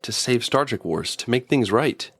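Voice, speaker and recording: A man playing a weary, regretful time traveler in a radio drama, with a husky, creaky voice. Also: medium pitch, animated, moderate pace, fairly bright tone, no echo. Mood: regretful, troubled.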